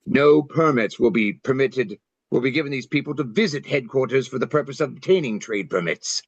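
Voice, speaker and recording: A man's voice: medium pitch, expressive, a little fast, slightly rough, no room sound. Man reading as stern general, dry dull sound. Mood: cold, official. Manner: formal, clipped, commanding. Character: stern, curt American Civil War general. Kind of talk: amateur play table reading. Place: online video-call reading.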